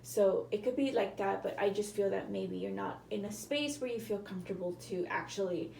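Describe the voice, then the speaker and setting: A woman with a hoarse, cracking voice, giving a casual tarot reading to viewers and often apologizing. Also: mid-range voice, normal speed, warm tone, reverberant, slight background noise. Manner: chatty, apologetic, hedging with fillers. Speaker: tarot reader.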